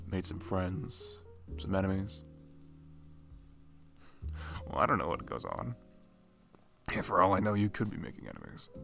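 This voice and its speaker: A man's voice: deep voice, gravelly, fairly bright tone, exaggerated voice. A man playing a shy, dorky best friend in an ASMR roleplay, chatting casually in a rambling, stammering, nerdy way. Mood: friendly, awkward. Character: dorky shy young male best friend, playful gamer.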